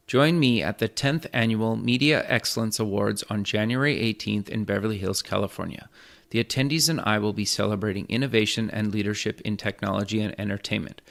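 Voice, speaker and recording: A man's voice: fairly low pitch, gravelly, fairly bright tone. A man hosting a radio and TV interview show, reading a scripted intro and then asking questions in an enthusiastic, hedging way. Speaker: host.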